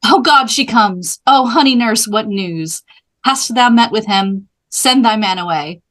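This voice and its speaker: A woman reading the part of an impatient, pleading, lovestruck young girl from a Shakespeare play in measured verse. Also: a little high, animated, fairly bright tone. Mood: anxious, eager. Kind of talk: Shakespeare play read aloud.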